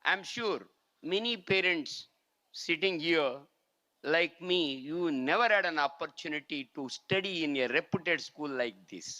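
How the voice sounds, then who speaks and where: A man's voice: a little high, expressive, moderate pace, bright, forceful tone, dull audio. An older man with an Indian English and Kannada accent, giving an earnest formal address as chief guest at a school function, switching between Kannada and English. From India, Karnataka.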